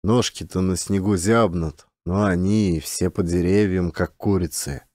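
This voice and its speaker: A simple village huntsman , acted by a male narrator, grumbles good-naturedly in rustic, folksy speech. His voice is low and dark, in the manner of an audiobook drama. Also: fairly even intonation, measured pace, no echo, clean studio sound. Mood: gentle musing.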